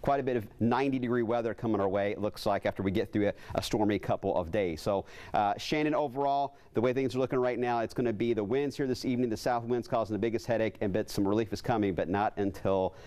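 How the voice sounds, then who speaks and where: Fast-talking male TV weatherman. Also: fairly low pitch, darkish tone. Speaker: TV weather forecaster. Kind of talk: ad-libbed live weather report. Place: TV news studio. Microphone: lapel.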